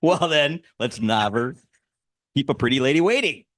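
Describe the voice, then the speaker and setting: A man's voice: medium pitch, animated, normal speed, a bit bright, no room sound, clean studio sound. A man co-hosting a podcast in casual, joking chat. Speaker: podcast co-host.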